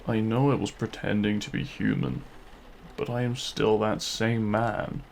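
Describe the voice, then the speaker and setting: Demon king male actor for romantic audio roleplay: deep, very unhurried, smug and possessive, courtly menace, some background noise. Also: fairly even intonation, vocal fry. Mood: pleading, ardent. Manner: theatrical, silky, menacing yet courtly. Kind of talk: scripted audio roleplay, addressed to the listener.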